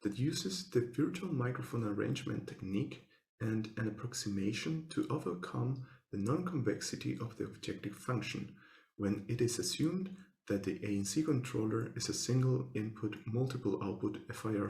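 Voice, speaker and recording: Man, scripted academic presentation, formal, measured step-by-step delivery, vocal fry, echoey room recording. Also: medium pitch, animated, darkish tone. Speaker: researcher presenting a paper. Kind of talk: scripted technical lecture.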